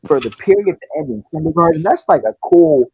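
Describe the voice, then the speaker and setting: A young man telling a casual story to friends in an animated, slangy, profane way, acting out the dialogue. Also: fairly high pitch, brisk, gravelly, breathy edge, phone-quality sound. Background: video game music.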